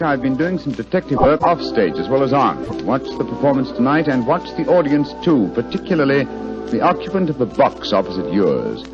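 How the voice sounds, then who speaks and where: Guy acting a smooth british gentleman detective, bossy and wry, pitch swoops around, muffled old radio drama. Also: lightly acted. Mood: measured reading. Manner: urbane, theatrical, crisply commanding. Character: suave, alert gentleman detective, wry and commanding.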